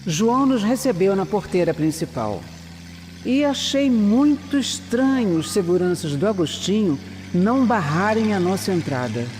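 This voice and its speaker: A woman playing a shrewd, seasoned Brazilian investigator in an audio drama, serious and measured. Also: mid-range voice, sing-song, a little mellow, big-room sound, a little hiss or hum. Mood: grave, measured. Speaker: lead actor and first-person narrator. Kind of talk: audio drama acting and scripted narration.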